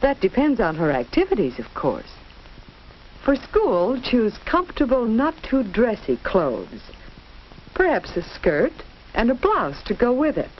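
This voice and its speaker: A woman's voice: fairly high pitch, huge pitch swings, a little slow. A woman giving scripted, instructive narration in a 1940s educational film style, carefully enunciated. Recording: dull, noisy 1940s film soundtrack.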